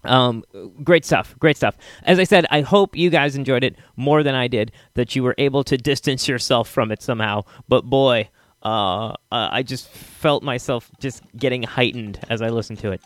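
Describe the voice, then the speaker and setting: A man hosting a podcast in casual, halting solo chat, repeating himself for emphasis. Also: mid-range voice, no room sound, clean studio sound. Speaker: podcast host.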